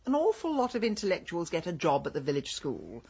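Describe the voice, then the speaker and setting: A witty, bossy English lady detective in a radio play. Her received-pronunciation voice is husky and swoops with arch irony, clipped and dry, on a slightly dull recording. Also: lowish voice, wildly swooping pitch, a little fast, darkish tone, heavily acted. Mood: arch, curious. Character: sharp, imperious, witty amateur detective woman, middle-aged. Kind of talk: radio drama acting.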